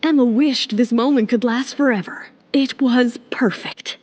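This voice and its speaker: A woman's voice: fairly high pitch, a little slow, a little mellow, slight background noise. A woman reading scripted, dramatic narration for a serialized romance audio story. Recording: music under the voice.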